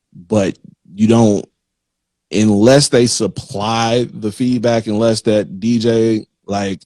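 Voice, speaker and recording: A man's voice: medium pitch, a little mellow, no echo. A man hosting a casual interview, talking informally with slang and acting out imagined quotes as he goes. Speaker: interviewer, magazine host.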